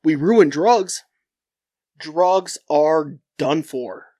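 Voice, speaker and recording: A nasal, stuffed-up young man hosts a solo podcast, talking in a slightly high voice at an unhurried, drawn-out pace. He is blunt and profane, circling back and restarting his thoughts. The sound is close and completely dry. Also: lively intonation, warm tone, no echo. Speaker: podcast host.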